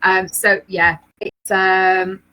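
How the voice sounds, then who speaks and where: A woman's voice: medium pitch, flat delivery, very slow, sharp tone, low-quality recording. A woman from Leeds, co-hosting a knitting livestream from home, chatting casually with lots of ums and easy laughs. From England, Leeds area. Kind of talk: casual chat, reading viewer comments.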